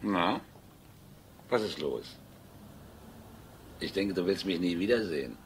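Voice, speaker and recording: An actor playing a menacing, sneering crook who mocks and threatens in a crime radio drama. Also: male voice, low voice, animated, slow pace, slight background noise. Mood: mocking. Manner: mocking, threatening. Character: menacing, sneering male crook bullying his former lover.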